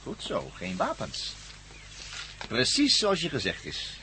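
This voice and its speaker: A man's voice: fairly high pitch, huge pitch swings, slow pace, background noise, exaggerated voice. An actor playing a megalomaniac villain in a radio drama, menacing, pompous and theatrical, gloating over his schemes. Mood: smug, menacing. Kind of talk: Dutch radio drama acting.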